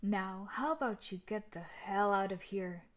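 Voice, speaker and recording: A woman's voice: mid-range voice, unhurried, background noise, close to an everyday voice. A woman playing a tough, sadistic, possessive bully and martial artist in a roleplay audio drama, taunting and condescending. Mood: mocking, gloating.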